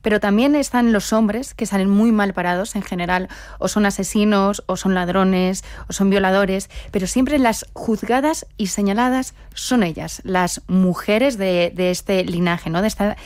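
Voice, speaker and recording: A woman hosting a radio book interview in Spain, asking questions and praising with lively enthusiasm. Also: medium pitch, a little slow, dry recording, studio quality. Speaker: radio host.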